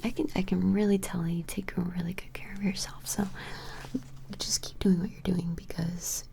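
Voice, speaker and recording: A woman whispering softly and gently in an ASMR personal-attention role play, hesitant and reassuring. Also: lowish voice, flat delivery, moderate pace, a little hiss or hum. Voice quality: soft breathy whisper with fry. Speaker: ASMR performer playing an appraiser taking measurements.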